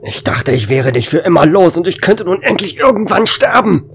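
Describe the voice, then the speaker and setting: A man's voice: sits high, big pitch swings, normal speed, creaky, slight background noise, full character voice. A man playing a desperate, weary space station officer in an audio drama, speaking in urgent, dramatic, clipped phrases.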